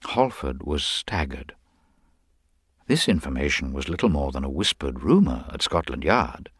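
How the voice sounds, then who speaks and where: A man's voice: a bass voice, very animated, normal speed, a bit bright, husky, no echo, studio quality. A man narrating a scripted detective story.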